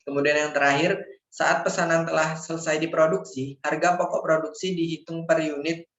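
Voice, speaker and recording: A man's voice: on the high side, little pitch movement, moderate pace, a bit bright. A young man, a university student formally reading out a scripted slide presentation, sometimes stumbling over words. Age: young adult. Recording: echoey room, dull lo-fi microphone.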